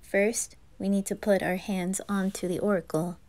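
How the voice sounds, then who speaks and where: A woman voicing a cool, knowing young anime boy who explains things in a measured, hesitant way. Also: medium pitch, steady tone, a little mellow, close to an everyday voice. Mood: calm. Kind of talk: anime fan roleplay.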